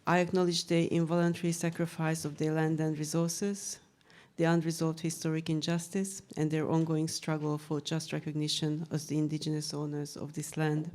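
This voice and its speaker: Middle-aged woman, Hungarian accent, low mellow voice, measured and earnest, presenting research at a panel. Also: little pitch movement. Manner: measured, earnest delivery with occasional fillers. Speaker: author and researcher presenting her book. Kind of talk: prepared panel talk.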